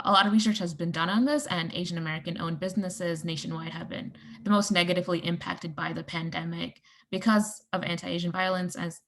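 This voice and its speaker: Gravelly-voiced woman panel guest. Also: fairly low pitch, a bit bright. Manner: measured, explanatory, with fillers. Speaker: panel guest, communications director. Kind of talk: casual panel talk.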